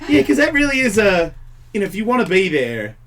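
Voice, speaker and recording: A male radio host tells long, rambling stories to his guests, animated and quick to laugh. His voice sits a little higher than most men's. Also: soft background music. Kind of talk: casual radio talk with guests.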